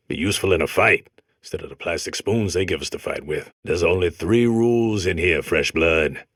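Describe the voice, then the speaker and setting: A man's voice: low voice, a bit bright, no echo, clean studio sound. An American man voicing a comic game robot that talks like a grizzled old convict, lecturing in folksy, tough prison slang. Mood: world-weary, lecturing. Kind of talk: comic game character monologue.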